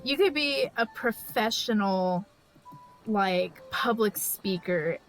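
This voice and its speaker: A woman's voice: mid-range voice, lively intonation, takes it slowly, faint music behind, rough recording. A woman from California chatting casually with viewers while drawing on a live stream, rambling with lots of fillers and restarts. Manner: rambling and chatty, with restarts and frequent "like" and "you know".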